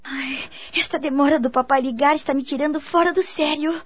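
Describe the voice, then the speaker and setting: Woman acting anxious young woman, Brazilian, high-pitched, polite, hesitant, radio drama. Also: steady tone, brisk, dry recording, natural voice.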